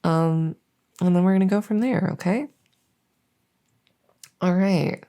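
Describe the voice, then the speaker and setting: A harmless, silly female monster from under the bed, played by a woman in an ASMR audio roleplay. Her voice is low, soft and mellow, with a creaky edge. She rambles haltingly and earnestly, like an awkward interviewer. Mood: awkwardly earnest. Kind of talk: ASMR audio roleplay, one-sided improvised-sounding interview.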